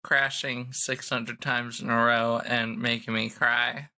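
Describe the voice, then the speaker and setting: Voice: medium pitch, little pitch movement, takes it slowly, sharp tone. A player on a tabletop role-playing podcast, chatting casually. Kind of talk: casual chat.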